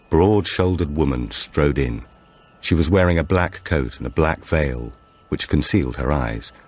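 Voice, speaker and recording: A man's voice: rumbling low, darkish tone, slightly rough. A man narrating a supernatural thriller audiobook from a script.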